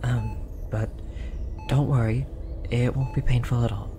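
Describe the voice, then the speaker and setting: A performer voicing a gentle, apologetic alien researcher, speaking softly and hesitantly in an earnest, reassuring roleplay style. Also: medium pitch, steady tone, unhurried, lightly acted. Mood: soothing, gentle. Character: tall, apologetic, gentle alien researcher soothing an abducted captive. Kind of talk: roleplay audio drama, soft comforting delivery. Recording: audible background noise, monitor beeping.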